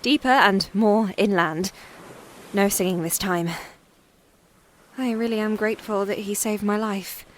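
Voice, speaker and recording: A woman playing a frightened, weary young plane-crash survivor in an audio drama monologue, confiding in a halting, plain-spoken, wryly understated way. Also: mid-range voice, natural voice. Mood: wry, rattled. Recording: clearly noisy background.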